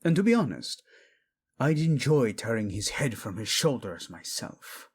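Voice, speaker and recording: A man's voice: medium pitch, sing-song, unhurried, slightly rough. A man playing a proud, vengeful young king, fiercely protective of his wife, in a dramatic romance audio roleplay. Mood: cold, vengeful. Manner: brooding, commanding, tender in endearments.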